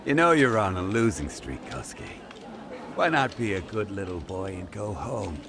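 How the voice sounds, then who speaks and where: A man playing a teasing, condescending gambling-den lowlife in a video game cutscene. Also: animated, moderate pace, fairly bright tone. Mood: mocking, probing. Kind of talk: dramatic game cutscene dialogue, English dub.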